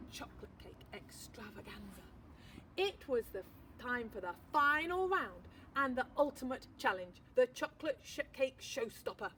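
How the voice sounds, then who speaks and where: A woman teacher reading a picture book aloud, expressive storytelling with dramatic pauses. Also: on the high side, a little hiss or hum. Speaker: primary school teacher.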